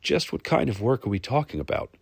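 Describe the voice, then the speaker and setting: A man voicing a charming, roguish mercenary leader in a fantasy audiobook, smooth and confident. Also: medium pitch, big pitch swings, fairly quick, no echo, clean studio sound, pitched above the performer's own voice, heavily acted. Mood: questioning.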